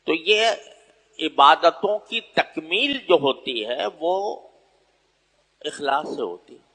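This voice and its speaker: A man's voice: on the high side, big pitch swings, bright, forceful tone. Male preacher in a reverberant hall. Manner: deliberate, pausing between short phrases. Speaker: Islamic preacher addressing listeners. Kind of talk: sermon, unscripted religious exposition. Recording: echoey hall with long reverb.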